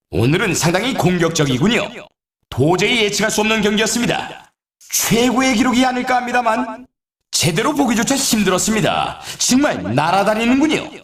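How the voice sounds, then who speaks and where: An excitable video game snowboard announcer, high-pitched and swinging widely in intonation. He teases with formal politeness and playful sarcasm. The sound is echoey and set back from the mic. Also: recorded from a distance. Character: excitable, teasing male snowboard race announcer. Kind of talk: scripted game sports commentary.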